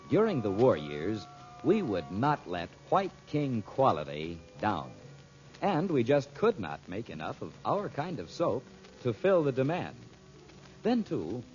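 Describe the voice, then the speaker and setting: Male American vintage radio announcer, swooping emphatic pitch, scripted delivery, muffled recording over faint music. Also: darkish tone. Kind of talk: 1940s radio announcing, scripted ad and story recap.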